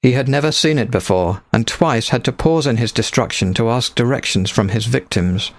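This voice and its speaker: A man's voice: medium pitch, normal speed, dry recording. A man reading a scripted story as an audiobook narrator into a close microphone.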